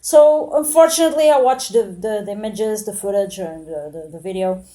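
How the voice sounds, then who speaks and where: A woman in her late thirties, from Portugal, talks straight to the camera in a mellow, soft-edged voice at a middling pitch. She reflects earnestly, circling back on her words with frequent fillers and self-corrections. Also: normal speed. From Portugal, born in Angola. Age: 30s. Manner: hesitant, many fillers and restarts, earnest and reflective. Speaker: vlogger. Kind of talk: spontaneous monologue to camera.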